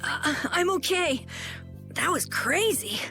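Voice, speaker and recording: A woman voicing an earnest, curious and excitable young boy adventurer in an anime-style game. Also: high voice, slow pace, vocal fry, soft background music, exaggerated voice. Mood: earnest, surprised.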